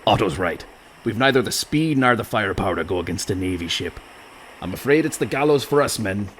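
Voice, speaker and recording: An actor playing a bumbling, exasperated pirate captain who talks in salty sea dialect in a comedic radio play. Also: male voice, fairly low pitch, animated, a little fast, noisy background, lightly acted. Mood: resigned.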